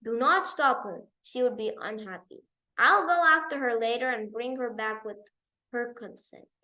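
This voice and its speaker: A woman voicing a devoted, determined young king in a storybook, speaking in an earnest, gentle manner. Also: on the high side, normal speed, low-quality recording. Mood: resigned, gentle.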